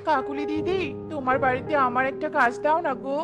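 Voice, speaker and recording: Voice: high-pitched, fairly even intonation, fast, highs cut off, close to an everyday voice. A performer voicing a grieving, humble female little bird in a cartoon, with music behind. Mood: humble, pleading.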